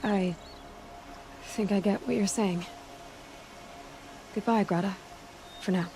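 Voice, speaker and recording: An actress playing a defiant, wry young outcast huntress in a video game, speaking in a dry, sardonic way. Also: female voice, mid-range voice, fairly even intonation, slow, dark tone, faint music behind. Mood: earnest, resigned. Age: young adult. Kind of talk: video game dialogue acting.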